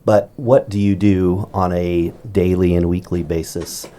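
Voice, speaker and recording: A man's voice: low-pitched, flat delivery, unhurried, warm tone, slight background noise. A man hosting a school podcast, asking casual, joking interview questions with plenty of fillers. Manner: friendly, jokey questions with fillers.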